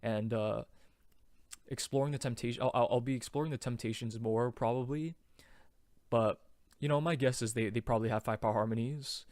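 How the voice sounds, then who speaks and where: A man's voice: mid-range voice, steady tone, fairly quick, darkish tone, no room sound. Chatty man with a very dry close sound. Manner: loose and chatty, many fillers and restarts. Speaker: vocal harmony tutor. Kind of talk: casual harmony tutorial.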